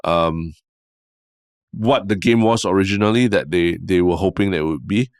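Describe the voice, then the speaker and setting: A very deep-voiced young man with a Singaporean accent, chatting on a podcast. He explains at length in a measured, unhurried way, stringing long sentences together. The sound is close and dry. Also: dry recording. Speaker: podcast co-host. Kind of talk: casual conversational chat.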